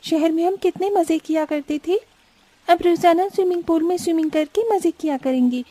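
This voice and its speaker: A woman's voice: very high-pitched, normal speed, mellow, dry recording. A woman voicing a haughty, spoiled and showy young rich sister-in-law in a cartoon family story. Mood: delighted. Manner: scornful, complaining, showy.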